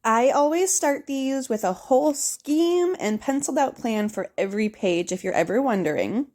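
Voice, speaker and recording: Young woman, slightly hoarse, chatty upbeat voice-over, higher voice. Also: lively intonation, normal speed, darkish tone. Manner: chatty, upbeat, talks straight to viewers. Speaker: bullet journal vlogger. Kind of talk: casual voice-over narration.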